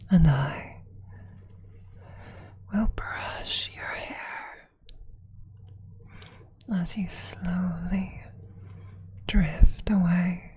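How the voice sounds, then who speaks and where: A woman voicing a tender, possessive female vampire lover in an ASMR roleplay, speaking in a slow, soothing, intimate breathy whisper with lingering pauses. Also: lowish voice, darkish tone, full character voice. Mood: devoted, tender.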